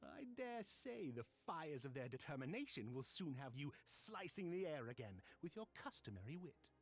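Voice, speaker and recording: A man's voice: on the high side, very animated, moderate pace. An actor playing a spirited, encouraging male elf knight in a fantasy game, with theatrical, formal diction. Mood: rousing, encouraging. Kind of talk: dramatic fantasy game voice acting. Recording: game music behind the voice.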